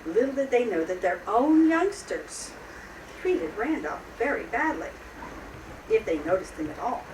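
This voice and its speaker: Echoey, expressive woman reading a children's story. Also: a little high, a little slow. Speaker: storybook reader. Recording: roomy, distant mic, noisy background.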